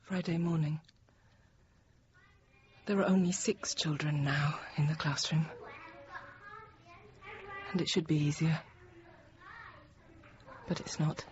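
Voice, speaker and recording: A woman playing a weary schoolteacher in her thirties held hostage, narrating a radio drama in measured, intimate short phrases. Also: low voice, steady tone, a little mellow, lo-fi sound, a little hiss or hum. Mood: weary, subdued. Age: 30s. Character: thirty-year-old female teacher held hostage, weary, reflective.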